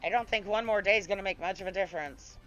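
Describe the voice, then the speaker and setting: A woman streamer, her voice hoarse from illness, chats loosely with her audience and her gaming partner. The stream audio is slightly dull, with faint music underneath. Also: medium pitch, quick pace, a bit bright. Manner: chatty, talks to viewers and co-player. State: hoarse, recovering lost voice. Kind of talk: casual chat while gaming.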